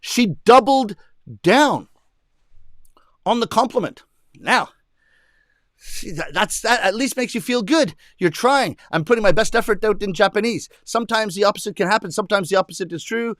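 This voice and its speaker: Casting note: animated comedian, male, high voice, dry close sound, bursts into put-on shouts. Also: normal speed, no echo. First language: English. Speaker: rakugo comedian. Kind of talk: stand-up storytelling to an audience.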